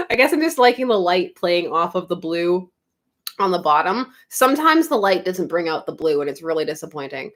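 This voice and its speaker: A woman's voice: fairly low pitch, sing-song, moderate pace. A woman from Canada hosting a casual livestream from her home studio, chatting and reading out viewer comments, giggling often and drifting from topic to topic. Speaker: YouTube livestream host.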